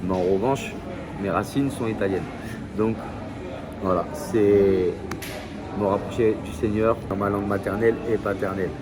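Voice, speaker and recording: A man's voice: on the low side, steady tone, normal speed. A man from France chatting casually in a travel vlog, hesitant and full of fillers and restarts. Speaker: vlogger. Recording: loud crowd noise behind.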